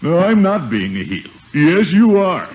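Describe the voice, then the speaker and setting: An actor playing a blustery, soft-hearted middle-aged uncle in a theatrical 1950s radio sitcom style. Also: male voice, fairly high pitch, sing-song, measured pace. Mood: conflicted. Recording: dull, noisy 1950 radio recording.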